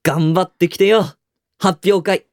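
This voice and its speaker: An actor playing a reserved, understated teenage high school boy who used to dance ballet, in a radio drama with dialogue and narration. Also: steady tone, measured pace, darkish tone, no room sound, studio quality. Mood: encouraging.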